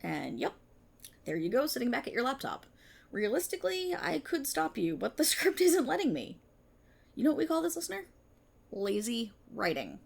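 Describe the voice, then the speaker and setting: A woman playing a sardonic, menacing, resentful police officer in an ASMR roleplay, with a breathy voice and a mocking, theatrical delivery. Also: a little high, wildly swooping pitch, normal speed, full character voice. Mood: sarcastic, exasperated.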